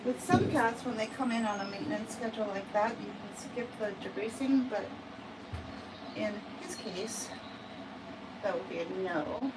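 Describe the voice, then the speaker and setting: An American woman who grooms cats, chatting slowly through a bath demonstration and often asking "right?". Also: medium pitch, recorded from a distance, heavy background noise. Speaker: cat groomer. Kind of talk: casual livestream grooming demo.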